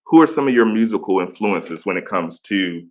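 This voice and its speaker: A man in his thirties with a deep voice, hosting a casual interview and praising his guest with slang. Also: expressive, moderate pace, lo-fi sound. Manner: enthusiastic, slang-heavy praise. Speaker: podcast host.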